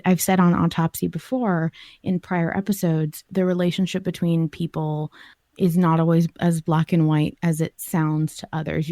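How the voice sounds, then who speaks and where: A woman hosting a podcast discussion, reflecting and explaining her view in a measured way. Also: lowish voice, dark tone, no echo, clean studio sound.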